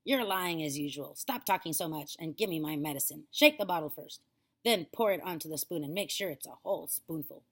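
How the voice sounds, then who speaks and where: A woman voicing a cranky, bossy old grandmother who snaps and shouts at her grandson. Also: expressive, brisk. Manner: snapping, shouting, very loud and high.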